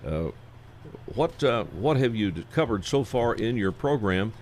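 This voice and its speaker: Folksy, halting male radio host. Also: fairly low pitch, lively intonation, a little mellow, slight background noise. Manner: folksy, halting, many fillers. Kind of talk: casual radio interview.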